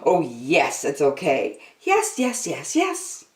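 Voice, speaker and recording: Storybook little girl, played by a woman, impulsive, eager, hesitant, very animated pitch, roomy sound. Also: slow pace, recorded from a distance, full character voice. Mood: eager, self-coaxing. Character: curious, impulsive five-year-old girl, nervous but eager.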